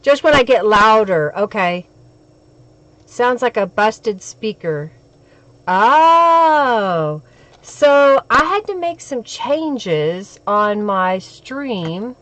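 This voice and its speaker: A woman with a crackly, hoarse voice from a cold, hosting a crafting live stream and chatting with viewers as she works. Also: on the high side, very animated, extremely slow, a bit bright, no echo.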